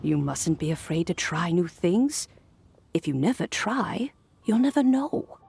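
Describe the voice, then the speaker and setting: A woman voicing a kindly, wise elderly female master in a video game, with gracious, old-fashioned, gently teasing phrasing. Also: fairly low pitch, very animated, unhurried, creaky, husky, full character voice. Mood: encouraging.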